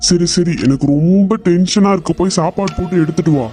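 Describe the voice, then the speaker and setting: A man's voice: high-pitched, brisk, dark tone, natural voice. A man voicing a short-tempered husband in a cartoon story who scolds and repeats himself, with music playing behind. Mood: irritated. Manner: scolding, irritable, repetitive. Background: background music.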